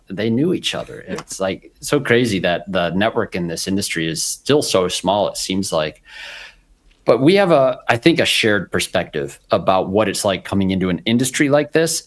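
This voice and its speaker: Upbeat lively man in his forties. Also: deep voice. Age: 40s. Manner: upbeat, laughs often. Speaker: podcast host. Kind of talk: casual panel chat and read ads.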